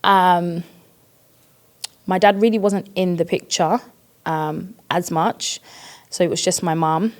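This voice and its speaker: Here is a woman in her thirties with a London accent, speaking casually as a podcast guest. She talks in a chatty, expressive way and laughs easily. Her voice sits fairly low for a woman. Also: slow pace, long pauses. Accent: London English. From East London, England. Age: 30s. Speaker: guest, worship leader.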